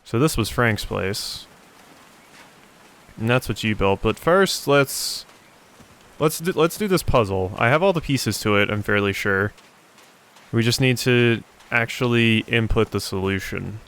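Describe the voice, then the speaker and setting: A man hosts a casual video game playthrough, thinking aloud as he goes. His voice is average in pitch, very clean and smooth. The delivery is informal running commentary. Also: expressive, a little slow, a bit bright. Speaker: let's play host.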